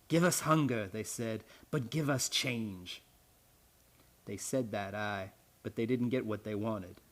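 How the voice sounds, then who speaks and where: A man's voice: on the low side, takes it slowly. Man acting a grim, blunt island retainer. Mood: bitter, grave. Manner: rustic, archaic phrasing with "aye" and "'twas". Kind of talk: radio play acting.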